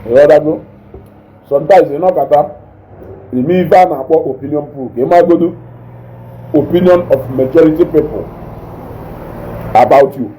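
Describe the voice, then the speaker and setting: A man with a Nigerian accent and a naturally loud voice, hosting a casual live stream, reading viewer comments and mixing English with Igbo while he eats. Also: fairly high pitch, normal speed, long pauses, a little hiss or hum, low-quality recording. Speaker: online show host reading viewer comments.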